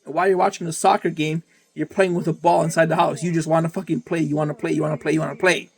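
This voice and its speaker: A young man talks in a loose, rambling monologue, swearing freely and circling back over the same phrases. His voice sits a little high for a man. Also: little pitch movement, racing, creaky. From Canada. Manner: profane, rambling, repeats himself. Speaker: vlogger. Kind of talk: casual monologue.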